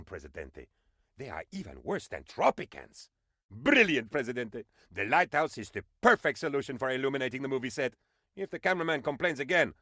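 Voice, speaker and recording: A fawning bumbling dictator's sidekick for a video game, super animated, pitch all over, dry studio sound. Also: male voice, no room sound. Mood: confident, scheming. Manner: theatrical, fawning, swooping between excitement and dismay. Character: bumbling, excitable, obsequious male aide to a dictator. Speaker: game advisor character. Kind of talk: comic video game voice acting.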